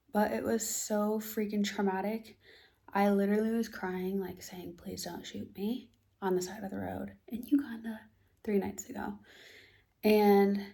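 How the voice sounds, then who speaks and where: A young American woman telling a tense personal story in a casual vlog, animated and often dropping to a hushed near-whisper. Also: mid-range voice, vocal fry. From United States. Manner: animated storytelling, acts out both sides of a dialogue.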